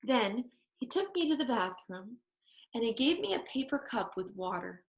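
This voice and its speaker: A woman reading a children's story aloud in expressive narration, phrasing it emphatically for young listeners. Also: on the high side, moderate pace, gravelly, echoey room, poor audio.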